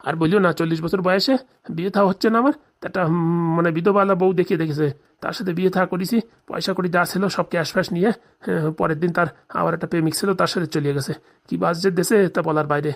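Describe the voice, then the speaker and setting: Man acting a middle-aged village man, very fast talker, indignant, scheming, foul-mouthed cartoon comedy grumbler. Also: high voice, fairly even intonation. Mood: indignant, grumbling. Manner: colloquial, grumbling, swearing. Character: indignant, scheming forty-year-old village man, jilted husband.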